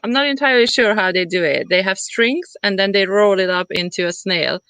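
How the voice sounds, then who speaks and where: A woman from Hungary with a Hungarian accent, chatting as an interview guest in a halting way as she searches for words. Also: mid-range voice, fairly bright tone, smooth, no echo. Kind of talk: casual conversational interview. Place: at home in the countryside.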